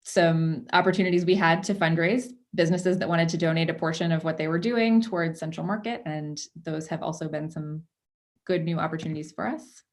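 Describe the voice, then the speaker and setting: Lower-voiced woman giving a presentation. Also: brisk, a little mellow. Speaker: outgoing chair of a market board. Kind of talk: prepared presentation at a public meeting. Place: video conference call.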